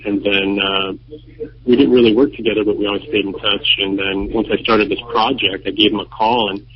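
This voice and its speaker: A man's voice: fairly low pitch, flat delivery, fairly quick. A man, the band's founder, telling its story in a plain, matter-of-fact way in a radio interview. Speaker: band founder. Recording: muffled lo-fi radio recording.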